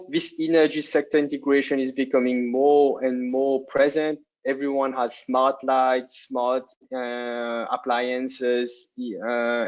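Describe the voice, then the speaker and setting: A man's voice: mid-range voice, rough recording. A man with a non-native English accent presenting a company webinar to solar installers, talking conversationally, often tagging sentences with "right?" and restarting phrases.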